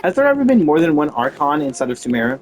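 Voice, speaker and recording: A man's voice: fairly high pitch, very animated, fast. A man streaming a game with casual, informal commentary, rambling off on tangents. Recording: faint game music behind.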